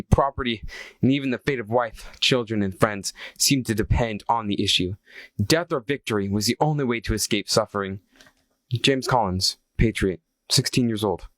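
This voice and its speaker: A man's voice: medium pitch, no room sound, poor audio. Man, mid-range voice, dry close recording, scripted historical reading. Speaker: reader of a historical account. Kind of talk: scripted reading of a first-hand account.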